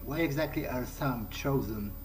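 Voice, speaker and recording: A man's voice: medium pitch, moderate pace, slight background noise. A man narrating a video game playthrough in character, in a hesitant, musing, conversational way. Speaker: let's play host. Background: game music.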